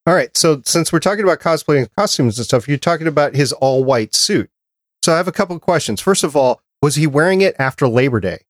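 A man's voice: a little high, lively intonation, fast talker, no echo. A man co-hosting a podcast with casual, joking banter and playful mock-serious questions. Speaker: podcast co-host.

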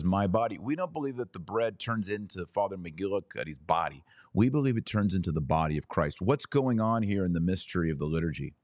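A man hosting a podcast, delivering an explanatory religious monologue with deliberate, emphatic phrasing and stressed key words. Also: on the low side, a little mellow, dry recording, clean studio sound. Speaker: podcast host.

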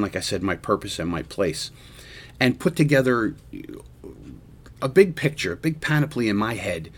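A man in his sixties hosting a radio show, musing aloud in an earnest, halting way with many restarts. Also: mid-range voice, slightly rough. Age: 60s. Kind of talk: reflective casual radio monologue.

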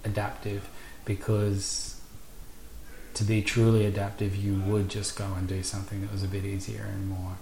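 A podcast host asking reflective questions in a halting way, with frequent restarts. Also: low-pitched, completely flat delivery, creaky, warm tone. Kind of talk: podcast interview. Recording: roomy sound with faint background noise.

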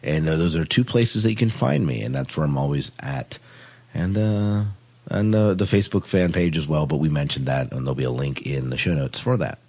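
A man's voice: a bass voice, a little fast, darkish tone, breathy edge. A man hosting a casual podcast chat, often repeating and restarting his phrases as he talks. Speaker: podcast host.

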